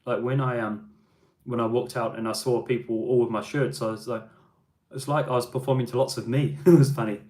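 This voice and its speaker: A man's voice: mid-range voice, steady tone, a little fast, darkish tone. A man from New Zealand hosting a music livestream, chatting casually and answering viewer questions with frequent ums and restarts.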